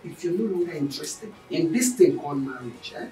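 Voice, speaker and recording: A man's voice: on the high side, expressive, a little fast, dark tone, recorded from a distance, close to an everyday voice. An actor playing an angry, jobless husband who resentfully demands his food. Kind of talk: melodrama acting. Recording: echoey room from afar, faint music.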